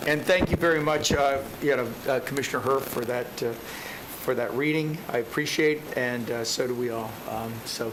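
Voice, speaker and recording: A man chairing a county board meeting, thanking speakers and introducing items in a relaxed, conversational way. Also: mid-range voice, moderate pace, noisy background.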